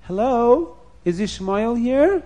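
A man voicing a gentle old father who speaks plainly. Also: very high voice, animated, slow pace, voice pushed up, exaggerated voice.

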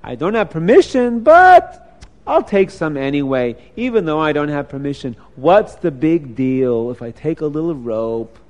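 A man's voice: fairly high pitch, big pitch swings, moderate pace, darkish tone. Man doing a brazen, tempting inner voice. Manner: shrugging, brazen, rationalizing. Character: cheeky, dismissive tempting inner voice of evil urge.

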